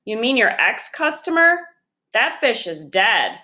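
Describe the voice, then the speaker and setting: A woman voicing a bossy, prim young girl in a children's storybook, brisk and matter-of-fact as she corrects others. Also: fairly high pitch, very animated, sharp tone, full character voice.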